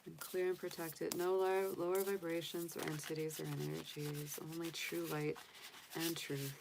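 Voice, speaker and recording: A woman's voice: deep voice, little pitch movement, normal speed, creaky, very noisy. A woman from the United States, casually chatting on a livestream as a tarot reader, rambling with many fillers and restarts. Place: home room with candles and sage.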